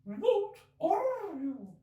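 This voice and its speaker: A man voicing a fretful, indignant mother pigeon from a storybook, shrill and scolding. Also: huge pitch swings, extremely slow, warm tone, echoey room, distant mic, lo-fi sound, heavily acted. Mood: contemptuous. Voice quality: shrill, screechy. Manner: scolding, sobbing, contemptuous.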